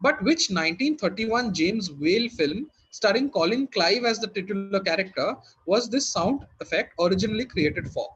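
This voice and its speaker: A man's voice: high voice, lively intonation, normal speed, few pauses, fairly bright tone, breathy edge, lo-fi sound. A man working as a quizmaster, reading out questions and hosting in a conversational way.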